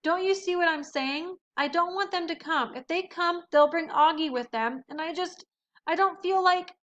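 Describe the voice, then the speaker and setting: A woman voices a defensive, emotional teenage girl from a storybook. Her voice is high and bright, her delivery emphatic and easily upset. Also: animated, normal speed, lo-fi sound. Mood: distressed, guilty. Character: upset, defensive teenage girl, spiteful then guilt-ridden.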